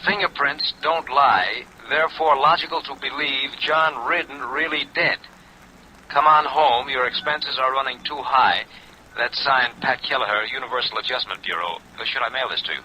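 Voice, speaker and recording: An American actor playing a businesslike telegraph operator in a 1950s radio drama, reading in an official way. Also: male voice, mid-range voice, normal speed, bright, forceful tone, low-quality recording. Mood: official, matter-of-fact. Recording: old radio recording, faint rain noise.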